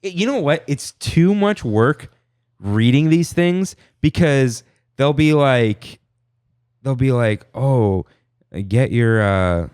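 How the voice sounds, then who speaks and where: A man's voice: mid-range voice, takes it slowly, clean tone, no room sound. Guy on a podcast, armenian first language, voice swinging all over, dry close mic. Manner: animated, rattles off menu items. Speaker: podcast co-host. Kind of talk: casual chat.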